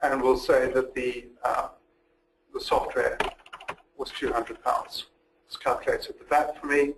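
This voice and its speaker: Methodical male software demonstrator, noisy recording. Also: mid-range voice, little pitch movement, measured pace. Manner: methodical, step-by-step explaining. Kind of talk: walkthrough of a recorded software webinar. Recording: noticeable background noise.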